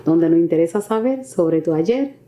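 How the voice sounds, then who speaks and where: Mellow, low-pitched woman hosting. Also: expressive, normal speed. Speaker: talk show host. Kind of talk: unscripted interviewing.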